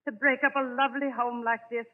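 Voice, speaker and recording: An actress playing a deferential, fretful housekeeper, polite and hesitant, in 1940s radio drama style. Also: female voice, a little high, level tone, fast, close to an everyday voice. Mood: deferential, wistful. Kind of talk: 1940s radio thriller acting. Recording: echoing, narrow old radio recording.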